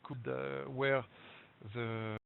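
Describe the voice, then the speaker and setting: A man with a strong French accent presents over a webinar line, hesitant and full of fillers as he searches for words in English. His voice has a gravelly edge. The audio is thin and phone-like, with a faint hiss behind it. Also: mid-range voice, flat delivery, drawn-out words. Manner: hesitant, many fillers and restarts. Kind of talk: halting presentation in a second language. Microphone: web conference audio. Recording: tinny phone-like web call audio with hiss.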